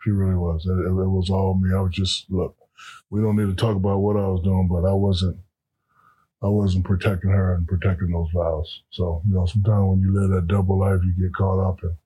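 A man with a very low, flat, husky voice speaks in a played-back interview clip. His talk is halting and confessional, stumbling over repeated words, and his tone stays dark and mellow. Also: poor audio. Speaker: podcast guest, former athlete.

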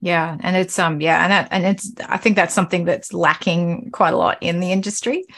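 A woman hosts a casual podcast interview, circling her questions with restarts and filler words. Her voice sits a touch low for a woman. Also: steady tone, fairly quick, a bit bright. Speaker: podcast host.

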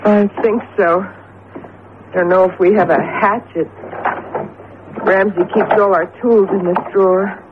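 A woman's voice: mid-range voice, steady tone, measured pace, long pauses, lightly acted. An actress in a radio drama playing an anxious, devoted woman with a hesitant, pleading, emotive manner. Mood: worried. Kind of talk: vintage radio drama acting. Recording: tinny, narrowband old radio broadcast, noisy.

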